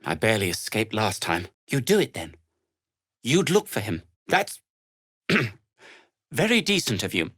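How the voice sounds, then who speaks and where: An actor playing an earnest, self-deprecating gnome adventurer in a video game, hesitant and wry, with formal, wordy phrasing. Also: male voice, fairly low pitch, huge pitch swings, unhurried, long pauses, fairly bright tone, slightly rough, no echo, studio quality, exaggerated voice. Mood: hesitant, grateful. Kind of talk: video game character dialogue.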